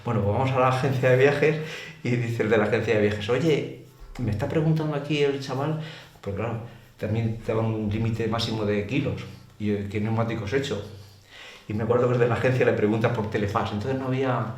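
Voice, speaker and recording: A man's voice: mid-range voice, a little fast. An older man with an accent from Spain, a former racer, chattily telling anecdotes in colloquial, exclamatory speech as an interview guest. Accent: Spanish from Spain. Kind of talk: casual anecdotal storytelling. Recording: roomy echo with clear background noise.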